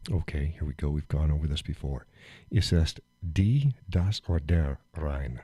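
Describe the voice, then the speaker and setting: A man hosting a live stream, chatting casually and rambling with fillers and chuckles, phlegmy and clearing his throat. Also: rumbling low, normal speed, mellow, dry recording. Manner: rambling, chuckling, thinks aloud with many fillers. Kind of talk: casual chat reading viewer comments.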